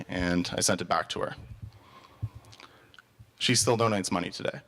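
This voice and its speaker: A young man from Canada speaks earnestly to a live audience, telling stories and offering advice. His voice sits on the low side. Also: fairly bright tone. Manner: earnest, storytelling. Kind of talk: motivational talk with audience Q&A.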